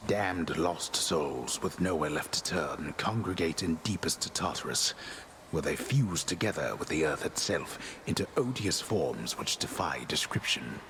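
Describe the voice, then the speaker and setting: An actor playing a solemn underworld mentor and storyteller in a video game, speaking formally and with measured gravity. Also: male voice, rumbling low, animated, fairly bright tone, a little hiss or hum, full character voice. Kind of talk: video game voice acting, scripted narration.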